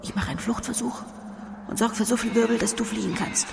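A woman playing a tough, sharp-tongued female agent in a German radio drama, curt and commanding. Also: fairly high pitch, steady tone, fast, creaky, background noise. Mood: decisive, commanding.

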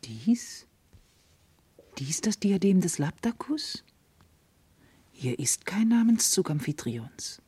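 A woman playing a sharp-tongued, scorned servant wife in a German classical verse radio drama, with emphatic theatrical delivery. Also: lowish voice, very animated, takes it slowly, pauses often, slightly rough, full character voice. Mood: hushed, dismayed. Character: sharp-tongued, scorned servant wife, scolding yet devoted to her mistress.

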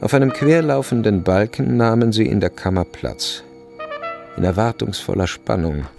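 A man's voice: fairly low pitch, moderate pace, creaky, a little mellow. A man narrating a literary radio play from a script. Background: faint music.

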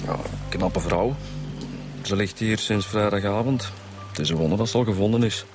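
A wry Flemish police inspector acted by a man in a naturalistic radio drama. He talks dryly and casually in a lowish voice, with music playing behind him. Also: moderate pace, lightly acted. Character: wry, jokey male homicide detective inspector. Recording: music under the dialogue.

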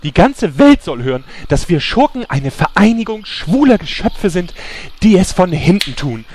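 A man playing a smug, sly villainous rogue in a parody fantasy audio drama, speaking in a theatrical, drawn-out way. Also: high-pitched, big pitch swings, darkish tone, slight background noise. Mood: dramatic.

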